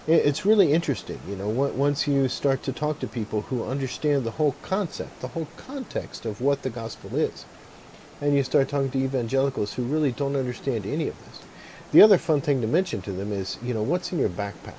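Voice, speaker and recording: A man with a mellow, dark voice talks in a loose, reflective way, pausing with fillers and restarting his sentences as he goes. Faint background noise sits under the recording. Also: medium pitch, animated, low-quality recording. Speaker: video maker. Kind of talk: casual talk to camera.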